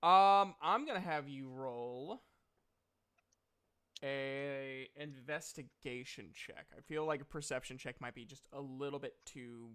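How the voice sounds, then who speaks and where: A man improvising narration as game master of a tabletop fantasy game, halting as he thinks aloud, with playful asides. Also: mid-range voice, fairly bright tone, smooth.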